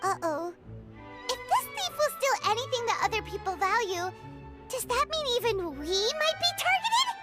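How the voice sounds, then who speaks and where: A tiny fairy sidekick, squeaky, very high, bouncing pitch, game music behind. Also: female voice, very animated. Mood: defiant. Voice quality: squeaky, childlike. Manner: bouncy, quick to cheer or worry. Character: small excitable fairy-like companion, high and childlike. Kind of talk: video game voice acting. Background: game score music.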